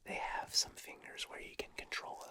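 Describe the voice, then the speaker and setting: An ASMR host whispering softly to the camera, her voice a little scratchy and hoarse, chatting in easy asides about each object. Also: moderate pace. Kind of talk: whispered ASMR trigger video. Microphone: close microphone for ASMR.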